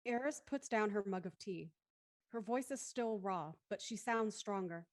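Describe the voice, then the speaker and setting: A woman's voice: medium pitch, measured pace, no room sound, clean studio sound, lightly acted. A woman reading scripted stage directions as narrator of an audio drama.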